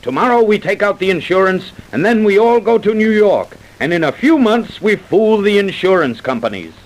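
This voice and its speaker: An actor playing a greedy, self-assured doctor who persuades briskly and smoothly, in old-time radio drama style. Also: male voice, high-pitched, lively intonation, poor audio. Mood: scheming, confident. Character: scheming, smooth-talking, greedy doctor plotting fraud. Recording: vintage radio broadcast recording.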